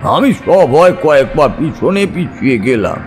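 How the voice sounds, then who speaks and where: A man narrating a scripted ghost story in a suspenseful, expressive, dramatic manner. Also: fairly high pitch, a little slow, faint music behind.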